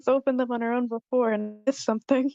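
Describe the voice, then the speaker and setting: A woman's voice: fairly high pitch, steady tone, quick pace, dark tone, no echo. A woman giving casual feedback in an informal group chat, with dull, lo-fi sound. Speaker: table-read participant giving feedback. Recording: dull lo-fi call audio.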